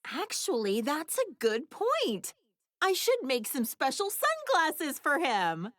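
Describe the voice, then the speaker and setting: A woman's voice: high voice, huge pitch swings, takes it slowly, a bit bright, dry recording, studio quality, heavily acted. A woman voicing a proud, sassy adult inventor and mother in an English anime game, with a breezy manner.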